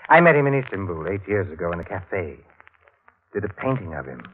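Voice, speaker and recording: A man playing a worldly, sardonic, enigmatic bohemian painter in a 1940s radio drama, speaking in a deliberate, wry, theatrical way. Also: sits low, sing-song. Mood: wry, ominous. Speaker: supporting actor. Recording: muffled, noisy 1940s radio recording.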